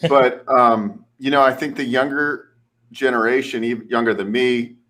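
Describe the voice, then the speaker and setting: A man's voice: mid-range voice, steady tone, moderate pace, fairly bright tone, a little hiss or hum. A man in his forties chatting casually on a live show, rambling with many restarts and fillers. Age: 40s. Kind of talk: casual chat.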